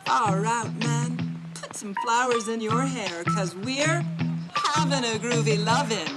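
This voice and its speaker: Slow groovy hippie starlet, loud music. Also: female voice, on the high side. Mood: blissful, groovy. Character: groovy young 1960s hippie flower-child movie starlet. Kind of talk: 1960s movie trailer acting.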